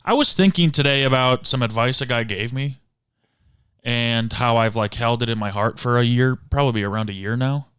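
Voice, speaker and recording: A man's voice: medium pitch, moderate pace, a bit bright, clear, resonant tone, dry recording, studio quality. A man co-hosting a podcast, chatting casually in a goofy, teasing, jokey way. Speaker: podcast co-host. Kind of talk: casual chat.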